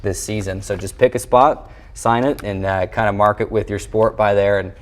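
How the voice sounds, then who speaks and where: Low-voiced American man hosting sports. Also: steady tone, normal speed. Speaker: sports show host and play-by-play voice. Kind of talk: broadcast sports interview and presenting.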